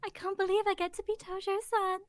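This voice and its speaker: A woman's voice: very high voice, level tone, a little fast, dry recording, clean studio sound. A woman voicing a theatrical, gleeful and whiny anime youngster in a comic dub, with exclamatory delivery.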